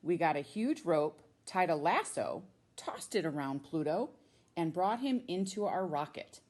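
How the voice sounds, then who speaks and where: A woman with an American accent, a teacher reading a storybook aloud to children with expressive, drawn-out emphasis on key words. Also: on the low side. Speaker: middle school science teacher reading a children's book.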